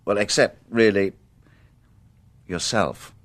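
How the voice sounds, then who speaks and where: A man's voice: fairly low pitch, slow. A man acting a shrewd, dogged police inspector in a radio drama, polite, guarded and understated in manner. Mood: respectful, insistent.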